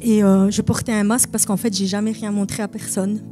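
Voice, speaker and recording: A woman's voice: medium pitch, little pitch movement, brisk, dark tone, soft background music. A woman in her thirties of Italian family background, giving a hesitant, earnest testimony at a church baptism service. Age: 30s. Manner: earnest, hesitant with many fillers.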